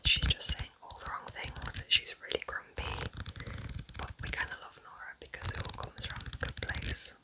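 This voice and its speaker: A woman speaking in a soft, chatty, informal ASMR whisper, gently recommending things as a host in an unhurried way. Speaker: ASMR host reviewing books.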